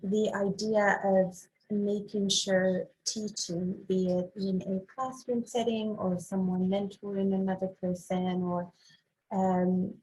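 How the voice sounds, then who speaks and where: A young woman from Morocco speaks as a podcast guest, with a steady, fairly flat tone. She answers thoughtfully, pausing on ums as she works out what she means. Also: medium pitch, slight background noise, low-quality recording. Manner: thoughtful, measured, with frequent ums. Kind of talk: casual interview talk.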